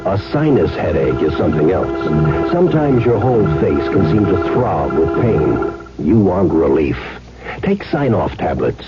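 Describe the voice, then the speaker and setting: A man's voice: deep voice, sing-song, a little slow, loud music behind the voice. A man announcing a 1970s radio commercial in an emphatic, salesmanlike way. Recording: dull vintage radio broadcast.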